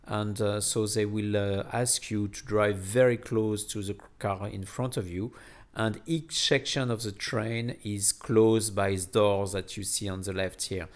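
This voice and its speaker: A man narrating a casual travel vlog in a hesitant, halting way, often repeating words. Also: fairly low pitch, steady tone. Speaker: vlogger.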